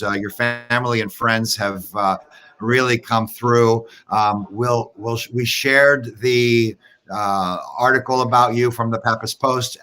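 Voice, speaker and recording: A man's voice: mid-range voice, sharp tone. A man hosting a live interview show, asking questions in an upbeat, encouraging way. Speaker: host.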